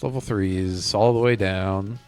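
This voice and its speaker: A man streaming a video game, chatting casually and rambling as he thinks out loud. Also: lowish voice, fairly even intonation, measured pace. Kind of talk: casual live gameplay commentary. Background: game music.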